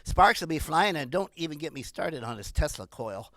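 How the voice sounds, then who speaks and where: A woman in her sixties performing a stand-up comedy monologue in an animated, rambling way. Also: deep voice, normal speed, no room sound, studio quality. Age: 60s.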